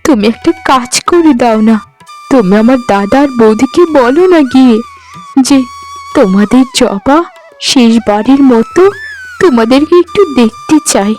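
A woman's voice: fairly high pitch, lively intonation, a little slow, a little mellow, soft background music. A woman voicing a meek, devoted young village wife in a cartoon, speaking in a tender, plaintive way. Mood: resigned, sorrowful. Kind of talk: cartoon voice acting in Bengali fairy tale.

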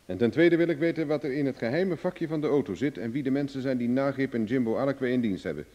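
An actor playing a cocky, cool-headed private investigator in a Dutch radio drama, glib, ironic and self-assured. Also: male voice, mid-range voice, fast talker, mellow, lo-fi sound, natural voice. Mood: cool, bargaining. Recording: reverberant old radio play recording.

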